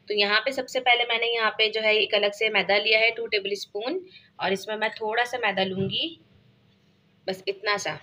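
A woman's voice: mid-range voice, bright, forceful tone. Chatty woman explaining a home recipe. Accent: Pakistani Urdu. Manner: chatty step-by-step explaining, frequent filler phrases. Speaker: cooking channel host. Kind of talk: casual cooking demonstration. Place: home kitchen.

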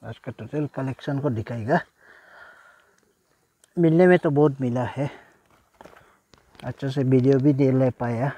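A man's voice: mid-range voice, steady tone, a little slow, long pauses, warm tone, dry recording. A man, chatting casually to camera as a vlogger, repeating himself and often exclaiming. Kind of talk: casual vlog narration to camera. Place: outdoors by a jungle river in the rain.

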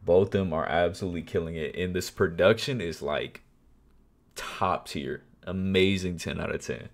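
A young man in his twenties hosting a music reaction video, talking casually and softly at night, excited about the song. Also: low voice, moderate pace, gravelly. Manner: enthusiastic, softly spoken at night. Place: small bedroom, indoors. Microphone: wired earbuds mic, webcam framing. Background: song playing faintly.

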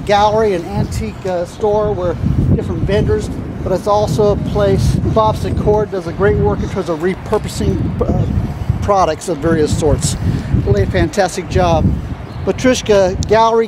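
A man in his fifties giving an informal walking tour outdoors, speaking in halting phrases with trailing lists. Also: high-pitched. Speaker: arts center director giving a walking tour. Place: outdoors on a small downtown street, walking. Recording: outdoor street noise with passing cars.

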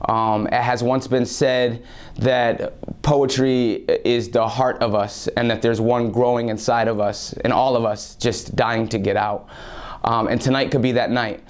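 A young man announcing an event to a school in an unhurried, soft-spoken way, often pausing on um and uh, recorded on a lapel mic in a small studio. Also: medium pitch, flat delivery. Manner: unhurried, soft-spoken, with frequent ums and uhs. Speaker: poet promoting an event. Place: small studio with a curtain backdrop.

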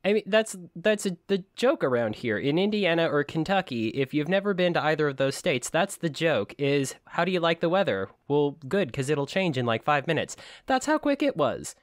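Animated man co-hosting a podcast. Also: fairly high pitch, normal speed, hardly any pauses, no room sound, clean studio sound. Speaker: podcast co-host. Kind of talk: casual book-club podcast chat.

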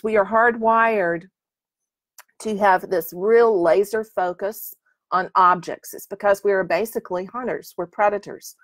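A woman's voice: mid-range voice, no room sound. Woman teaching painting on a live stream. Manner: chatty, encouraging, answers comments by name. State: slightly losing her voice. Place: small room with curtained window, indoors.

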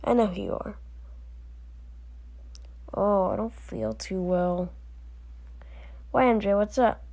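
A woman doing amateur scripted character dialogue for an animated story, with a drawn-out, unhurried delivery. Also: mid-range voice, dry recording.

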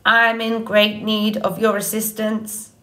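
A woman's voice: mid-range voice, completely flat delivery, pushed and bright. A woman playing a friendly female robot in an audiobook story, speaking with formal, earnest politeness. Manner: friendly, measured, formal without contractions.

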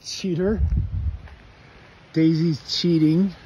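A man's voice: a little high, steady tone, extremely slow, a little mellow, muffled recording. A man walking a coastal forest trail, narrating to himself and exclaiming in wonder at the drop below. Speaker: vlogger walking his dogs. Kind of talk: casual narration while hiking. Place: forest trail on sea cliffs, outdoors. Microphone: handheld phone. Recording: outdoor wind and ambient noise.

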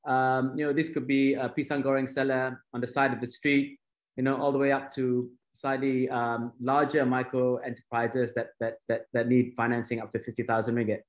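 A middle-aged man, explaining as a panelist on a video call, halting, with many fillers and repeated words. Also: medium pitch, flat delivery, dull audio. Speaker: fintech founder and panelist. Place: home office on a video call.

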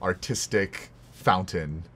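A man's voice: low-pitched, slow pace, a bit bright. A man, apparently in his thirties, interviewing a guest in an informal, encouraging, conversational way. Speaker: podcast host, interviewer. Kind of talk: casual interview chat.

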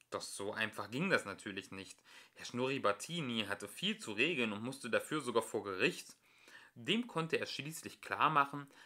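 A young man reads a children's story aloud in a bright, clear-cut tone. His delivery is expressive and lively in pitch, like an amateur audiobook narrator telling a tale to a child.

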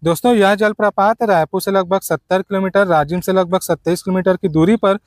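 A man delivers a travel-guide voice-over. His voice sits high for a man. The sound is dry, with almost no room echo, and he addresses the viewers directly as friends. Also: steady tone, fairly quick. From Chhattisgarh, India. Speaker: travel vlogger, narrator.

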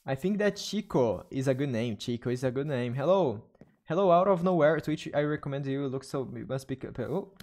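A man's voice: medium pitch, animated, a little mellow. Young Brazilian man streaming in English. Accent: Brazilian Portuguese. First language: Portuguese. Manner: halting non-native English, restarts and searches for words. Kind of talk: casual live-stream chat while gaming. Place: small room at a desk. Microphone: studio mic on arm, headphones.

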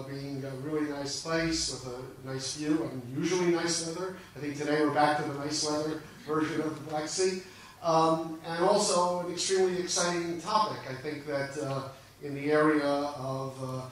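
A man in his sixties, the conference organizer, giving a measured, enthusiastic welcome and introducing the first speaker, with frequent fillers, into a handheld microphone in a large hall. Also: fairly high pitch, fairly bright tone, a little hiss or hum. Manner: fluent but filler-heavy, measured, enthusiastic. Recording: echoey hall, picked up from a distance.

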